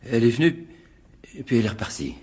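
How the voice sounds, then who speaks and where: An actor in a radio drama playing a curt, secretive man who speaks haltingly and repeats himself. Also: medium pitch, very animated, darkish tone, husky. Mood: guarded, nervous. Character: curt, evasive, secretive man, nervous and unwelcoming.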